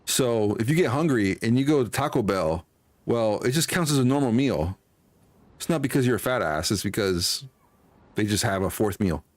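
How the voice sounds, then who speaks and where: A man's voice: medium pitch, expressive, moderate pace, dry recording. A man livestreaming a game, chatting casually with rambling, teasing commentary. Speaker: game streamer. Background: game music.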